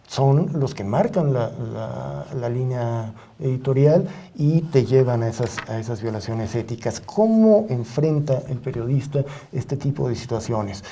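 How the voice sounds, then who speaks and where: A middle-aged man with a Mexican accent, speaking as an expert guest in a studio discussion in a deliberate, halting, measured way. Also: medium pitch, mellow. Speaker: media ombudsman and journalist, panel guest. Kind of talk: reflective studio interview discussion.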